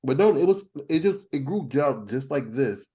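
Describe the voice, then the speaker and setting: A man's voice: mid-range voice, expressive, normal speed, mellow. A forty-year-old man chatting casually on a podcast, rambling and poking fun at himself. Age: 40s. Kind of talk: casual chat.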